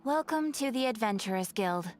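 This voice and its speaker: Actress as welcoming guild receptionist for fantasy game, high female voice, noisy track. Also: lively intonation, moderate pace, natural voice. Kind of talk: game voice acting. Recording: game audio under clear noise.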